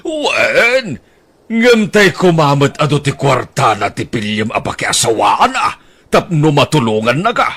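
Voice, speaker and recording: A man playing a stern older father who scolds and mocks in a husky voice, in a radio-style drama. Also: medium pitch, big pitch swings, a little slow, fairly bright tone, exaggerated voice. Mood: arguing. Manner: scolding, sarcastic, blustering.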